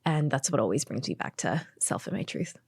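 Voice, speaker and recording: A woman's voice: deep voice, lively intonation, fairly quick, darkish tone, breathy edge, no echo, clean studio sound. A woman from Hong Kong answering questions conversationally as a podcast guest and doctor.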